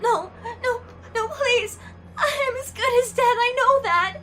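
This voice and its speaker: A woman's voice: extremely high pitch, a little slow, a crowd in the background. A woman playing a desperate, bitten pregnant woman, crying and pleading brokenly for her baby in an audio drama. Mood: hysterical begging. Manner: pleading, broken, sobbing delivery.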